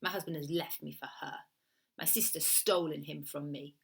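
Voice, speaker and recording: A woman voicing a fragile, bitter Italian woman of thirty who hires a killer, with an Italian accent and formal, deliberate phrasing. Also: low voice, fairly even intonation, creaky. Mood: bitter, wounded.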